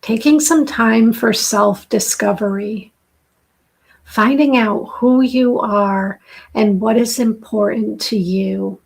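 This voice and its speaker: A middle-aged woman with a raspy, dry-throated voice, slowly and softly giving a live tarot reading as host. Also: on the high side. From southern New Hampshire. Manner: slow, soft, soothing intuitive reading.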